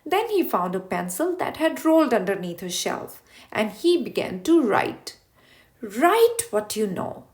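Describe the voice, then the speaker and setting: A woman reading a children's story aloud in an expressive, emphatic way, stretching words for effect. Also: fairly high pitch, normal speed. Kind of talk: scripted read-aloud narration for children.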